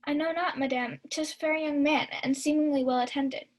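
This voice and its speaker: Young woman playing high-voiced scolding lady's maid. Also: steady tone, a little fast, natural voice, low-quality recording. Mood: reporting. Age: young adult. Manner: theatrical, chiding. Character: Maria, scolding sharp-tongued lady's maid. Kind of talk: 1940s radio Shakespeare acting.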